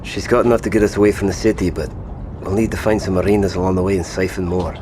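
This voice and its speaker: An actor playing a steady, protective survivor in an audio drama, speaking plainly and reassuringly, often hushed. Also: male voice, deep voice, fast, noisy background, close to an everyday voice. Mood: warm, easier. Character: steady protective male survivor leading companions to a boat.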